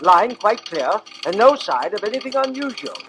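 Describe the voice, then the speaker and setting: High-pitched actor as a clipped railway stationmaster. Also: male voice, very animated, moderate pace, background noise. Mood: formal, clipped. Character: railway stationmaster reading out clipped telegram messages. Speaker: actor in a radio drama. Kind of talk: old-time radio drama acting.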